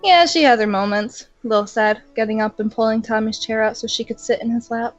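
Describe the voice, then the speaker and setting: A woman's voice: on the high side, fairly even intonation, a little fast, no echo. Giggly young woman reading fan fiction. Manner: reads aloud with frequent giggles. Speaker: co-reader. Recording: dull lo-fi home recording. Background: faint music.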